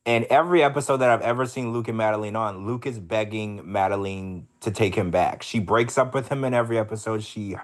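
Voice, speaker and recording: A man's voice: lowish voice, brisk, few pauses. A man co-hosting a casual podcast chat, talking bluntly with plenty of swearing and strong opinions. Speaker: podcast co-host.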